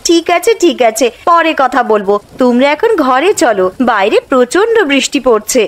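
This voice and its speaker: A woman voicing a caring but bossy village mother in a cartoon, expressive and exclaiming, over music. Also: high-pitched, normal speed. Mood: surprised, welcoming. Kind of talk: cartoon voice acting. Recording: music behind the voice.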